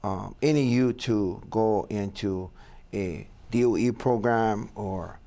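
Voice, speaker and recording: A man from Hawaii, talking in a casual studio chat and pausing often on "uh" in a plain, pidgin-tinged way. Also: on the low side, vocal fry, dark tone. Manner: halting, many "uh" fillers, plain pidgin-tinged phrasing. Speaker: foundation founder, co-host.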